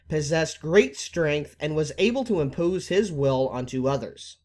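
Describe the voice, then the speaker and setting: A man narrating a scripted video game explainer as a YouTuber, with formal, emphatic phrasing. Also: on the high side.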